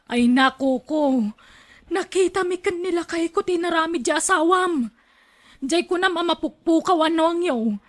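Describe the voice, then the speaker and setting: A woman's voice: extremely high pitch, normal speed. A woman with an Ilocano accent playing a fretful, tattling female neighbor in a radio drama.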